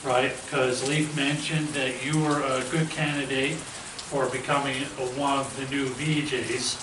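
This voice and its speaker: A male interviewer who fumbles through his questions, full of uhs and restarts. He is recorded far from the mic in an echoey space. Clear background noise sits under his voice. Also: medium pitch, level tone, measured pace. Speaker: host, interviewer. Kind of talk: casual phone-style interview. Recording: echoey, distant from the mic, noisy.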